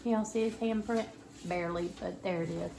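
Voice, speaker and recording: A woman's voice: fairly low pitch, fairly even intonation, normal speed, creaky. A woman co-hosting a casual cooking video, teasing and blunt in her chat. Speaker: co-host. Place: indoor home kitchen. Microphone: camera a few feet away on counter. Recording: noisy echoey kitchen.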